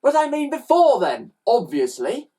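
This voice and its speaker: A woman's voice: fairly high pitch, very animated, slow, distant mic, voice pushed up, full character voice. A woman voicing an indignant, determined young boy in a storybook, with an emphatic, snappy way of declaring things.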